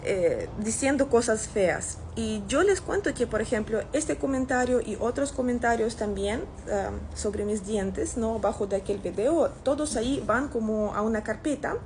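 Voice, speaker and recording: A woman's voice: a little high, lively intonation, moderate pace, hardly any pauses, darkish tone, poor audio. Russian-accented woman chatting over background noise. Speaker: social media content creator. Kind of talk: casual chat to camera, replying to comments. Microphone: phone at arm's length.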